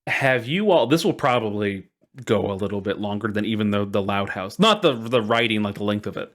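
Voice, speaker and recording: A man's voice: fairly low pitch, expressive, a little fast, a bit bright. A man co-hosting a podcast, presenting topics in a casual, playful, joking way. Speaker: podcast co-host.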